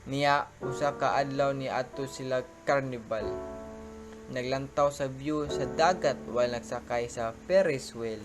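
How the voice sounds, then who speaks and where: A man's voice: mid-range voice, a little slow. Young man narrating over music. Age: young adult. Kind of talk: student radio drama narration. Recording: music playing behind.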